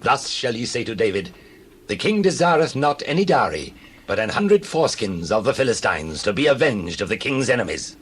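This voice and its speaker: A man's voice: medium pitch, sing-song, normal speed, a bit bright, husky. An actor playing a jealous, scheming king of ancient Israel in a dramatized Bible reading, solemn and commanding. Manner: solemn, archaic, commanding delivery.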